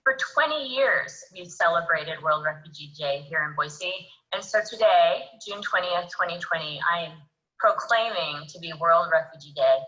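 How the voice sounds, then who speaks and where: A woman's voice: on the low side, expressive, moderate pace, sharp tone, muffled recording. A woman mayor giving a formal recorded video greeting and proclamation. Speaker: city mayor.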